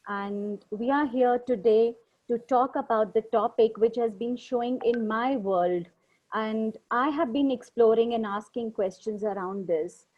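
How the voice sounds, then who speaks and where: A woman with an Indian accent hosts an informal online discussion. Her voice sits a little high, and she speaks as a native Hindi speaker, posing questions and encouraging others to answer. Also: a little mellow, highs cut off. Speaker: host of an online group discussion. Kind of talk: informal facilitated discussion, mixing English and Hindi. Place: online video call.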